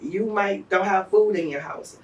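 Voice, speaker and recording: An American woman telling stories in a casual interview, talking fast with slang and laughing often. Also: medium pitch, darkish tone, distant mic. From United States. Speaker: interview guest.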